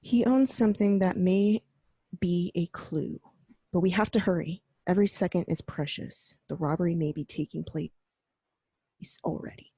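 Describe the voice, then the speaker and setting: Confident masked heroine, low gravelly voice, dark tone, dry sound. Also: female voice, normal speed, no echo. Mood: urgent. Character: confident young adult female masked crime fighter.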